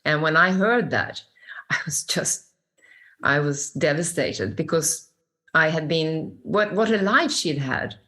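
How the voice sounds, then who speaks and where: An older woman from Sweden with a Swedish accent, telling her story as an interview guest in a warm, expressive way. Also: low voice, slow pace. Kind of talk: reflective conversational storytelling.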